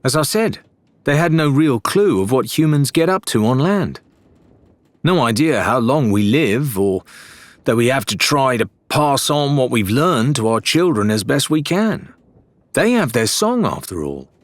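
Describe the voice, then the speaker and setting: A man's voice: mid-range voice, sing-song, unhurried, dry recording, voice pushed up. Man voicing an earnest, spirited pirate woman. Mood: defensive. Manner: earnest, defensive.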